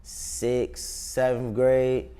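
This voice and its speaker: A man's voice: medium pitch, completely flat delivery, drawn-out words, mellow, clear, resonant tone. A young adult man with a Chicago accent, giving a casual interview in slangy, profane street talk. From South Side of Chicago, United States. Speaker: interview guest, rapper.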